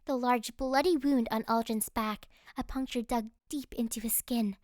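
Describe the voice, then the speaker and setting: A woman's voice: a little high, steady tone, moderate pace, a little mellow, dry recording, clean studio sound. A woman reading scripted first-person fantasy narration as an audiobook narrator.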